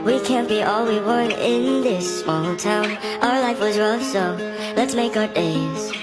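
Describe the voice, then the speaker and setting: A woman's voice: mid-range voice, slow. A woman singing a song with guitar accompaniment.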